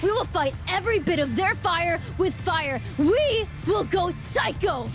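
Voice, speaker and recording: A woman's voice: extremely high pitch, lively intonation, measured pace, music in the background. A woman playing a principled, defiant young wrestler in a video game cutscene, speaking with earnest emphasis. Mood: firm, impassioned.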